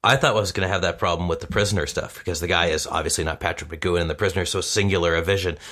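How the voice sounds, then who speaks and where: A man's voice: low-pitched, fairly even intonation, rapid-fire, bright, forceful tone. A man who is a podcast guest, chatting casually in an informal, rambling way.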